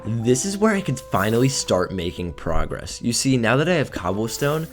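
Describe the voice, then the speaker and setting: A young man with a slightly raspy voice from a cold, casually narrating his gameplay and sometimes tripping over his words. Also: mid-range voice, very animated, moderate pace, faint music behind. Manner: casual, offhand, trips over words now and then. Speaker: gaming video creator.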